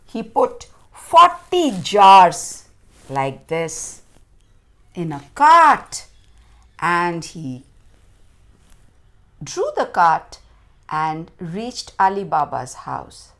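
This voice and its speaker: A woman storyteller narrating for children with dramatic, deliberate emphasis and questions to her listeners. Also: lowish voice, huge pitch swings, fairly bright tone.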